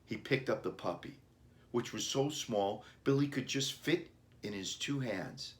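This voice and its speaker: A man narrating a children's storybook as its author, with deliberate phrasing broken into short chunks. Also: medium pitch. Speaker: author reading his children's book.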